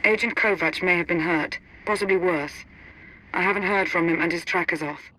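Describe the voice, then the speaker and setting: A bright-voiced female officer over radio. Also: on the low side, normal speed, slight background noise, poor audio. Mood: concerned. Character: brisk, concerned intelligence officer reporting over comms. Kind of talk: video game voice acting.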